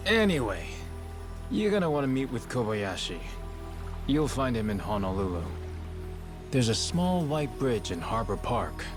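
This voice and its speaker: A man's voice: medium pitch, sing-song, measured pace, pauses often, music in the background. An actor playing a blunt underworld man who talks in plain, colloquial terms. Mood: matter-of-fact.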